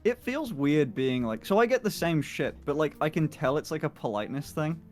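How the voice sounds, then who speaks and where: A man's voice: on the high side, animated, a little fast, faint music behind. A man chatting casually as a stream guest, rambling, laughing a lot and swearing freely. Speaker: guest on a livestream call. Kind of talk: casual chat.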